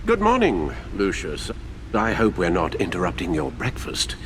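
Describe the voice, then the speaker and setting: An actor playing a courteous, wry older butler in a video game, speaking in polite, measured, formal phrases. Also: male voice, deep voice, huge pitch swings. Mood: polite, pleased. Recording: clearly noisy background.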